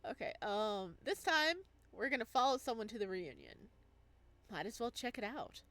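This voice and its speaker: A woman hosting a let's play, reading aloud and chatting casually with playful, excitable reactions. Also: fairly high pitch, normal speed, dry recording. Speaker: gaming channel host.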